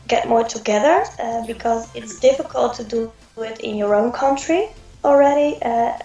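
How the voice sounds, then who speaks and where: A woman with a Dutch accent answering interview questions, pausing often to search for words. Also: on the high side, vocal fry, darkish tone, dull audio. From Netherlands. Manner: searches for words, many fillers. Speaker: committee member. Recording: roomy with faint music.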